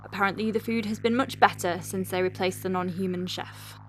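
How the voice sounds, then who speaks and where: A woman's voice: medium pitch, steady tone, brisk, natural voice. A woman playing an exasperated, wry doctor at a space clinic in an audio drama, with a sardonic, conversational delivery. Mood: dry, clipped dictation. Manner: sardonic, conversational, hesitant asides. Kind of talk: audio drama monologue, dictating a letter. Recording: music behind the voice. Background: music.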